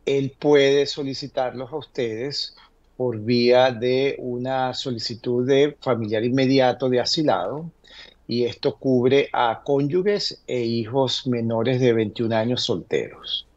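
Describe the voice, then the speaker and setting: A man speaking as an immigration lawyer, giving conversational advice in a halting way, often checking in with short tag questions. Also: medium pitch, steady tone, a little mellow, highs cut off. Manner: hesitant, halting explanations, tags statements with "verdad".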